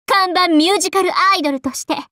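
A woman's voice: extremely high pitch, fast, pushed and bright, no room sound, studio quality. A woman voicing a vain, bubbly young princess idol in a Japanese anime game, with a haughty, playful and theatrical delivery. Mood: haughty, excited.

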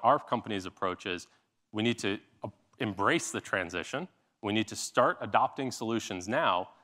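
A man's voice: fairly low pitch, a bit bright. An American man in his thirties giving a keynote on stage in an explanatory, conversational manner, often posing rhetorical questions. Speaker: company founder and CEO giving a keynote. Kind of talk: keynote presentation. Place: large indoor stage at a summit. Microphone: clip-on lapel mic.

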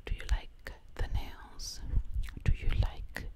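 A young woman doing ASMR role-play as a sweet zombie princess, whispering breathily and close to the mic, lingering on repeated words. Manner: very slow, lingering word repetition. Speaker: ASMR creator. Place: dim living room, indoors. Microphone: close-up ear-to-ear microphone.

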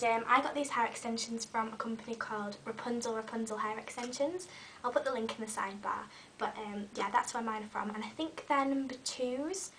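A young woman in her twenties, recovering from a cold and a bit croaky, chatting casually through a hair tutorial in her bedroom. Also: fairly high pitch, fairly bright tone, recorded from a distance, a little hiss or hum, poor audio. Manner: chatty, talks while styling hair, many ums. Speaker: beauty vlogger. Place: small bedroom with fairy lights. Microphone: webcam a little way off.

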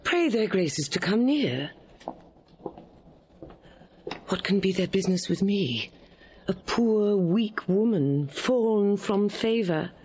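A woman's voice: mid-range voice, expressive, unhurried, a little mellow, dull audio, a little hiss or hum. A woman playing a proud, wary, wronged queen in a Shakespearean audio drama, speaking formally and emphatically. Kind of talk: Shakespearean radio drama acting.

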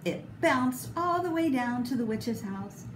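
Middle-aged woman, expressive storybook read-aloud, unhurried delivery, dramatic emphasis, echoey noisy room. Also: on the high side. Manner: deliberate, dramatic emphasis on repeated words. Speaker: storybook reader. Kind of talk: expressive read-aloud of a children's picture book. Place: indoor room, voice a little echoey. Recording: roomy echo, clear background noise.

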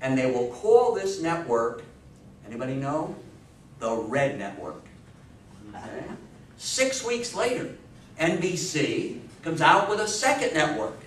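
A man's voice: fairly high pitch, sing-song, measured pace, fairly bright tone. An American man in his fifties or sixties giving an informal history talk, emphatic and fond of rhetorical questions. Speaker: lecturer on radio history. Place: small indoor meeting room with seated audience. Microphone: distant camera microphone. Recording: echoey room, far microphone, faint hiss.

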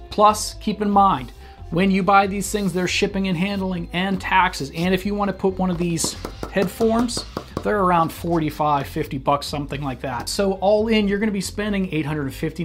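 A man in his thirties, a channel host, chatting casually and explaining practical details in a small indoor studio. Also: a little high. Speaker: channel host reviewing masks. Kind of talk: casual review talk.

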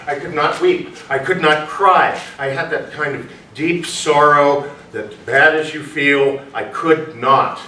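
A man's voice: fairly high pitch, steady tone, slow, hardly any pauses, pushed and bright. An older man playing a troubled, pious recluse in a staged play reading, formal and declamatory in manner. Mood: sorrowful. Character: troubled, pious, reclusive suspect, brooding and grandiose. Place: small indoor venue, before a seated audience. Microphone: far camera. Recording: roomy distant stage recording with noise.

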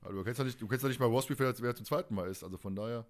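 A man co-hosting a podcast, recapping in a casual, animated, stop-and-start way and often turning to the others. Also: mid-range voice, very fast. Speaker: podcast host. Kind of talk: casual fan podcast discussion.

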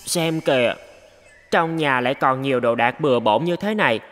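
An actor playing a playful, mischievous little boy elephant in a children's cartoon, with a childlike, expressive delivery. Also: mid-range voice, moderate pace, close to an everyday voice. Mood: grumbling, sly. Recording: music bed behind the voice.

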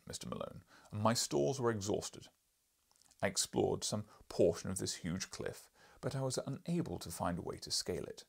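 A man's voice: fairly low pitch, creaky, breathy edge, full character voice. A man voicing an imperious, irascible older professor and explorer in an audiobook, lecturing pompously in a declamatory way. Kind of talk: audiobook character reading.

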